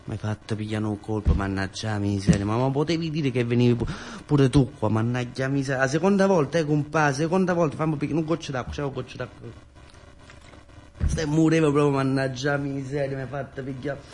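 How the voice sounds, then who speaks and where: A man's voice: mid-range voice, fairly quick, darkish tone, pitched above the performer's own voice, close to an everyday voice. A male actor playing a jumpy, grumbling young man in a radio monologue, stammering and muttering with a southern Italian accent. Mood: startled, grumbling. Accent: southern Italian, Pugliese. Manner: stammering, muttering, repeating exclamations.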